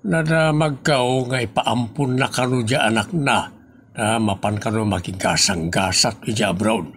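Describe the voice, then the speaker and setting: A man playing an older father-in-law, gravelly voice, measured talk, faint noise behind. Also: medium pitch, a bit bright, natural voice. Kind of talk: Ilocano comedy drama acting.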